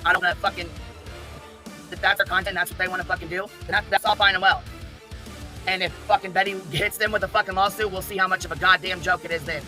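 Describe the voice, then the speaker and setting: A man's voice: high voice, steady tone, fast talker, pushed and bright, soft background music. A man arguing in an informal, heated way, blunt and emphatic, with constant swearing.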